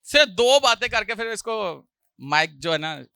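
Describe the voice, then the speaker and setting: Male pastor, bright ringing voice, sore throat, loud hallelujah rises, jokey asides. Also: high-pitched, sing-song, moderate pace, vocal fry, smooth, no room sound, studio quality. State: sore throat, clearing his throat. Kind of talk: sermon.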